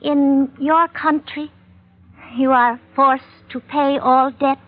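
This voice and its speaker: A woman's voice: high voice, flat delivery, takes it slowly, a little hiss or hum. An actress playing a proud young foreign woman in an old radio adventure, speaking in stilted, grand, formal phrases. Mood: imperious, formal. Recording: muffled vintage radio recording.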